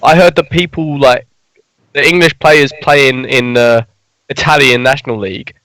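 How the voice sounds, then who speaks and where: A man's voice: medium pitch, fairly even intonation, moderate pace, a bit bright, no room sound, low-quality recording. A young man chatting casually on a gaming podcast, hesitant and hedging with frequent restarts. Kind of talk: casual esports podcast chat.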